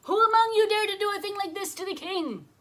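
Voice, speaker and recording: A woman's voice: extremely high pitch, fairly quick, voice pushed up. A woman voicing a proud, hot-tempered king who gives indignant commands in a children's story. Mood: roaring.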